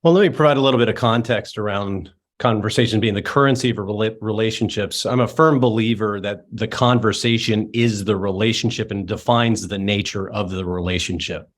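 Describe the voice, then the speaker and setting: Measured male guest explaining. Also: fairly low pitch, steady tone. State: just getting over an illness. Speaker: guest expert. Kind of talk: interview answer.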